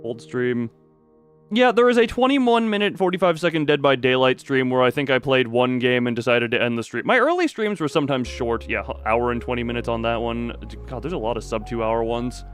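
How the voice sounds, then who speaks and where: A man's voice: mid-range voice, animated, fairly quick, fairly bright tone. A man livestreaming with casual chat, muttering and thinking aloud in a distracted way. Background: background music.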